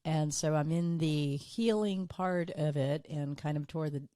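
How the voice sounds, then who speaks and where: A woman hosting her own business podcast, talking earnestly on her own, a little hoarse and tired from illness. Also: sits low, measured pace, mellow, dry recording, clean studio sound. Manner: earnest, repeats for emphasis. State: hoarse, recovering from illness, fatigued. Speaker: podcast host. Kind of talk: solo business podcast monologue.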